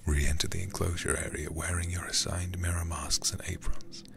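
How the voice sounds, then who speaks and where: A man softly whispering close to the microphone in an ASMR game stream, reading text deliberately and murmuring intimate asides to his viewers. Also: little pitch movement, a little hiss or hum. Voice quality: breathy whispering, low and gravelly. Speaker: livestreamer playing a horror game.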